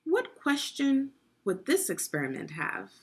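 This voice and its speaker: A woman's voice: sits high, wildly swooping pitch, a little slow. A woman leading a guided read-aloud of a children's science book, teaching and prompting young listeners with questions.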